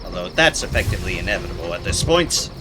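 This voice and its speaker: A slightly hoarse man streaming a video game, chatting casually and playfully to himself as he plays. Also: sits low, big pitch swings, quick pace, noisy background. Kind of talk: casual live gameplay commentary.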